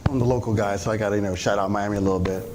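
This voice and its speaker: A man's voice: on the low side, steady tone, racing, noisy background. A man moderating an onstage fireside chat, chatty and rambling with restarts, before a live audience. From Philadelphia area. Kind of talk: casual onstage interviewing.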